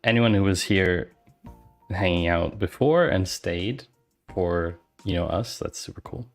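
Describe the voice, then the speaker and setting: A woman from Switzerland and Canada with a neutral accent, a data content creator chatting on a livestream in an earnest, measured way. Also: a bass voice, faint music behind. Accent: neutral Canadian-Swiss. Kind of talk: casual livestream chat.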